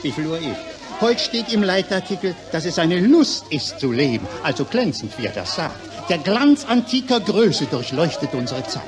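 An actor playing a gullible, gushing Viennese newspaper reader in a satirical 1940s radio play. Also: male voice, on the high side, big pitch swings, normal speed, a crowd in the background. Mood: enraptured. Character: excitable, gullible newspaper-quoting Viennese man.

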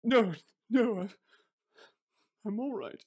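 An actor playing a gentle, grieving father of a poor Victorian family in a radio play, tender and halting. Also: high-pitched, expressive, slightly rough, full character voice. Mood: subdued, tender.

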